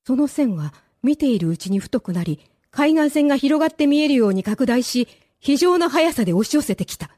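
A woman's voice: sits high, expressive, a little fast, hardly any pauses, mellow, dry recording, clean studio sound. A woman narrating a radio drama as a scripted, dramatic story.

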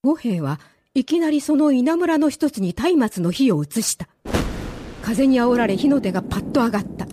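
A woman's voice: on the high side, expressive, normal speed, warm tone, breathy edge. A woman narrating a radio drama as a scripted, dramatic story.

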